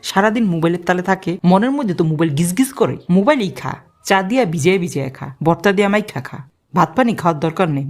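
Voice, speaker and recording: A woman voicing a hot-tempered scolding mother. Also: mid-range voice. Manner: scolding, nagging. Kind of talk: cartoon voice acting.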